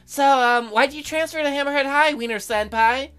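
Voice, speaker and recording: A woman doing a high, perky shark schoolgirl. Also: fairly quick, a bit bright, faint music behind. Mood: friendly, curious. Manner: perky, earnest, chirpy. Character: cheerful, eager shark schoolgirl guide.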